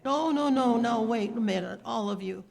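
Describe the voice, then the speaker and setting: Woman acting creaky-voiced pleading beggar woman. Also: a little high, normal speed, darkish tone. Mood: anxious, pleading. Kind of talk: 1930s radio acting.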